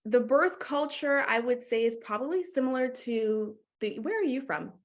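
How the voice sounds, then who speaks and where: A woman from Canada, talking casually as a guest in an interview, full of "like" fillers and quick laughs. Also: on the high side. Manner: chatty, many "like" fillers, laughs often. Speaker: guest, doula.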